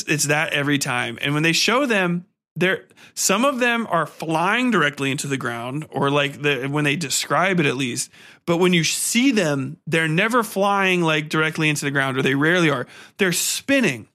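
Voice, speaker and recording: A man's voice: fairly high pitch, pushed and bright. A man hosting a podcast in a casual, rambling film-review rant, profane, exasperated and mocking.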